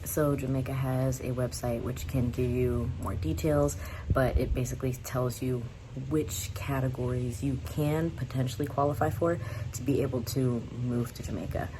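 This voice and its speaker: A woman's voice: extremely low pitch, steady tone, moderate pace, background noise. A young woman from the United States talking candidly to her viewers in a casual vlog, rambling and often laughing. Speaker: vlogger. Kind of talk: casual talk to camera.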